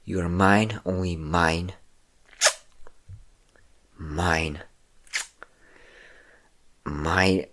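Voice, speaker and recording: A man's voice: low-pitched, steady tone, very slow, pauses often, fairly bright tone, exaggerated voice. A man playing a possessive, domineering vampire lover, teasing and commanding the listener in an intimate audio roleplay. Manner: teasing, commanding, breathy whispers at times.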